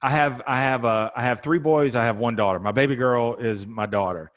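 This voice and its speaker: Conversational male podcast host. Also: medium pitch. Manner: conversational, halting restarts, reflective. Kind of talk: casual interview chat.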